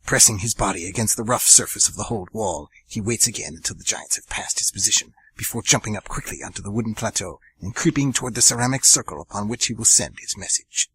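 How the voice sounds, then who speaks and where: A man's voice: lowish voice, fast talker, few pauses, a bit bright, breathy edge. A man hosting an amateur radio theatre show, narrating the story earnestly and chatting between segments with casual fillers.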